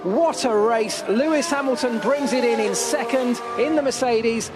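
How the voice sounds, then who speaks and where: A man giving excited live motor racing commentary as the lead commentator. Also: very high voice, normal speed.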